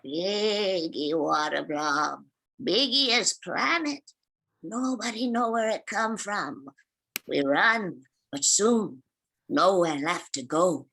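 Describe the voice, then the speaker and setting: A woman's voice: lowish voice, slow, gravelly, a bit bright, heavily acted. A woman playing a scrappy old rat alien grandma in a comic sci-fi script read, speaking in clipped, folksy broken English. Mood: wistful storytelling.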